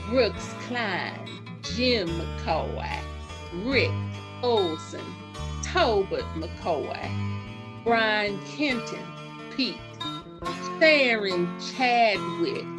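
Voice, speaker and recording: A woman narrator reading scripted announcements for a radio drama. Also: a little high. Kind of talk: scripted narration, cast credits. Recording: music behind voice. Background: plucked guitar music.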